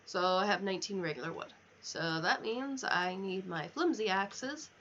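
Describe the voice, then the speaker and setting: Casting: female game streamer, mid pitch, casual thinking-aloud mutter, muffled lo-fi recording with noise behind. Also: animated, normal speed, fairly bright tone. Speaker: livestreamer. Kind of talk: casual chat while playing a video game. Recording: dull lo-fi mic, clear background noise.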